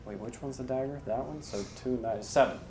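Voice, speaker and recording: A man playing a tabletop game, chatting and joking in an excitable, sweary way. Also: mid-range voice, a little fast, a little hiss or hum. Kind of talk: casual, joking table talk. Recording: echoey room with crowd sound behind.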